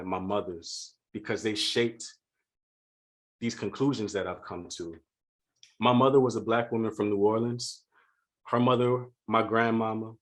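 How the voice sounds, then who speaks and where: A man from Louisiana giving a prepared keynote speech for a virtual conference, speaking in an earnest, measured and deliberate way. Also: on the low side. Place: home setup for a virtual conference.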